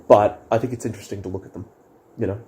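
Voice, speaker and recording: A man's voice: lowish voice, steady tone, very fast, mellow, a little hiss or hum. A man co-hosting a casual chat about music and films, often hesitant and searching for words. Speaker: co-host reviewing music and films.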